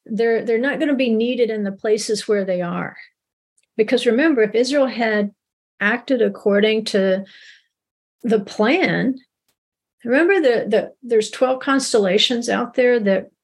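Middle-aged woman teaching bible stuff, breathy voice, chatty and kinda repeats herself. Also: fairly high pitch, measured pace. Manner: conversational, with frequent restarts. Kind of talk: teaching a recorded Bible lesson.

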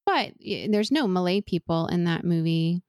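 A woman's voice: lowish voice, animated, normal speed, a little mellow, dry recording, clean studio sound. A woman in her forties who grew up in Venezuela, talking earnestly and thoughtfully as an interview guest, often restarting her sentences. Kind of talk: reflective interview conversation.

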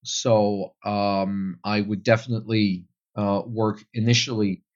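A man's voice: fairly low pitch, little pitch movement, takes it slowly, lo-fi sound. A young Flemish man, a Dutch speaker, answering interview questions about tour guiding thoughtfully, with many fillers. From Belgium. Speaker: tour guide guest.

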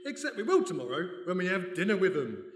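High, animated British sidekick son. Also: male voice, fast talker. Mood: incredulous. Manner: incredulous, wry, questioning. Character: bewildered, loyal son and reluctant sleuthing sidekick. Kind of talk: radio play comedy-mystery acting. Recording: echoey hall, live stage recording.

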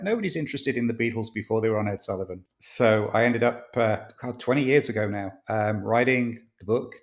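A chatty older man with a northern English accent and a fairly low voice, rambling through his stories as a guest. He fills his pauses with ums and keeps a casual, easygoing flow. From northwest England, near Manchester. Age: 60s. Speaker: author and podcast guest. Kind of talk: casual interview chat.

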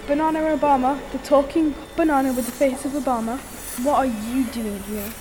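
Girl or woman playing theatrical villainess Medusa, high-voiced. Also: lively intonation, normal speed, a little hiss or hum, poor audio. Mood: startled. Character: scheming snake-haired Medusa, villainous drug cartel boss. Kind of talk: amateur comic radio play acting.

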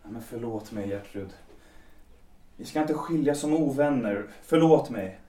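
A man's voice: mid-range voice, lively intonation, mellow, close to an everyday voice. Guy doing bitter jilted lover in an audio drama, mid voice, echoey room, kinda far from the mic. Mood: subdued. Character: bitter rejected male lover, Erland.

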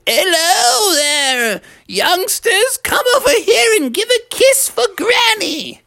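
A man voicing an elderly grandmother bear, doting and easily overwhelmed, with a wailing, drawn-out storybook delivery. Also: very high voice, very animated, gravelly, bright, forceful tone, voice pushed up, full character voice. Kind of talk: animated storybook character voice.

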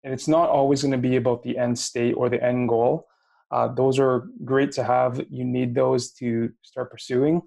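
Gravelly-voiced young man, Filipino-accented host. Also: medium pitch, flat delivery, normal speed, dark tone. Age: late 20s. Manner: searching for words, many fillers and restarts. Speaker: podcast host. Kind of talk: casual interview chat.

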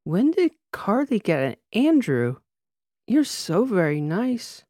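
A man voicing a drunken female socialite who slurs and wheedles in a high voice. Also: big pitch swings, darkish tone, no room sound, clean studio sound, full character voice. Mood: drunk pleading. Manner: slurred, wheedling, drawn-out words. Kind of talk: audiobook character voice.

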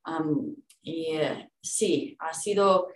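Woman, low voice, slow, hesitant, fillers, echoey room, away from the mic. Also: distant mic. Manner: hesitant, filler-heavy, laughs lightly. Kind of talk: casual conversation.

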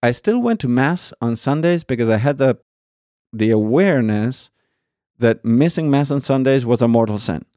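A man from Argentina, a priest, telling his story in a thoughtful, conversational way, pausing with fillers and often checking with "right?". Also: medium pitch, expressive, mellow, no echo, studio quality. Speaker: priest guest.

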